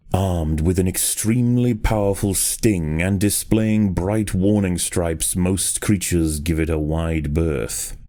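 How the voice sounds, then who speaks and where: Man, very deep voice, warm dark tone, deliberate delivery, grave, scripted wildlife documentary narration. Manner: measured, deliberate, grave storytelling. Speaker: video game narrator.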